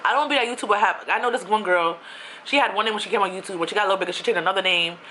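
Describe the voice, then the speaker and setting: A woman's voice: mid-range voice, racing, a little hiss or hum, low-quality recording. A young woman from New York, ranting casually on her own vlog in a chatty, slangy way. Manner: chatty, animated, with slang and asides. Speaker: YouTuber.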